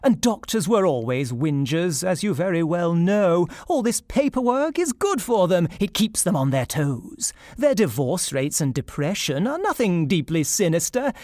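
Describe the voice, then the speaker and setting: A man's voice: high-pitched, a little mellow, dry recording, clean studio sound. A male actor playing a smug, callous government spokesman, pompously reciting satirical verse in a theatrical, declamatory style. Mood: dismissive, condescending.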